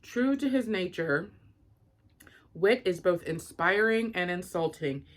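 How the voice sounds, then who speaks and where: A woman's voice: lowish voice, animated, slow pace. A woman giving an informal, rambling book review and unboxing, thinking aloud with frequent asides.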